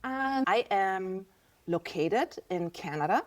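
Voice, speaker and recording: A woman in her fifties with a German accent speaks as a podcast guest at a middle pitch. She talks candidly and reflectively. Her pitch rises and dips as she works through her thoughts. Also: animated, slow. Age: 50s. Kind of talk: casual interview talk.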